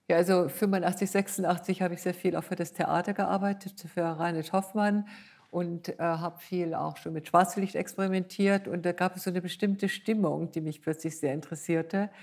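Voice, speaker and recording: An older woman speaks reflectively as an interview guest. Her voice is creaky and stays within a narrow pitch range. She is thoughtful and hesitant, feeling her way toward the right words. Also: steady tone, moderate pace, darkish tone.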